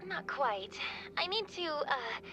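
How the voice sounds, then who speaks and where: Easygoing young game friend, casual, lively pitch, music underneath. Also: female voice, slow pace, heavily acted. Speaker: game character.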